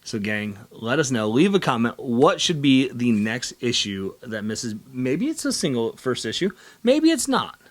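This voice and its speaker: A man with a lively, widely swinging pitch hosts a casual vlog. He is upbeat and coaxing, chatting with his partner and turning to the viewers like a friendly gang leader. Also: a little slow. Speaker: host of a comic book video series.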